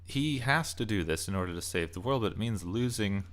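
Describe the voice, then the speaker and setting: A man's voice: on the low side, animated, a little fast, fairly bright tone. A man hosting a video channel, giving a casual, off-the-cuff opinion commentary and thinking aloud in broken phrases. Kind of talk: casual opinion rant about game movies.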